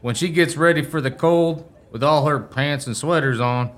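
Folksy camp fellow, played by an actor: bright, forceful, teasing, homespun country talk, for radio drama. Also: male voice, on the high side, natural voice. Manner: drawn-out folksy exclamations and country idioms. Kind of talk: radio play acting.

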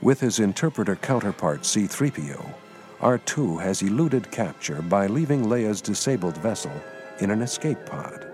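A man's voice: lowish voice, very animated, normal speed, music in the background. A man narrating a science-fiction radio drama in scripted storytelling style. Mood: measured narration. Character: male storyteller narrating a space saga.

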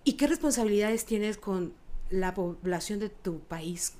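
A woman interviewer asking questions in a halting, hesitant way during a casual conversation. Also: mid-range voice, lively intonation, warm tone.

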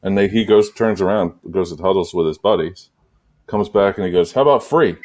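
Male sports podcast host, deep register, conversational storyteller who meanders through his anecdotes. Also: a little fast, a little mellow. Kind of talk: casual sports banter and storytelling.

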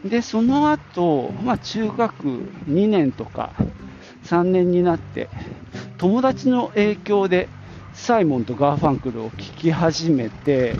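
Male podcast host, middle-aged, reflective and conversational, gravelly edge, slightly dull lo-fi recording. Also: high voice, very animated, takes it slowly, dark tone. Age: middle-aged or older. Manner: reflective, conversational, thinking aloud. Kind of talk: casual solo reminiscing. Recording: dull lo-fi audio, prominent music. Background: music.

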